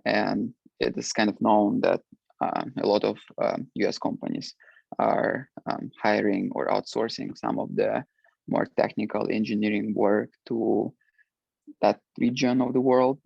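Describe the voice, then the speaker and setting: Slow, gravelly man. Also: fairly low pitch, fairly even intonation, no room sound, highs cut off. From Ukraine. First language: Russian. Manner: hesitant, many filler words. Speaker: alumni panelist. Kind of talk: panel discussion answer.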